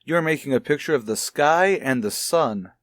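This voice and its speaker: Man acting a measured, gently probing radio doctor. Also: a little high, lively intonation, dry recording, close to an everyday voice. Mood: coaxing. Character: kindly, probing male child psychologist, a father. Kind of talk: mid-century radio soap opera acting. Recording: vintage radio recording with music.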